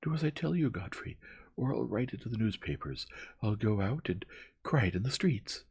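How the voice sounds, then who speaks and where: A man's voice: fairly low pitch, sing-song, moderate pace, vocal fry, a little mellow, breathy edge, pitched above the performer's own voice, full character voice. A man voicing a passionate, frantic young lady in a dramatized audiobook of a Victorian novel, vehement and emphatic. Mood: frantic, commanding.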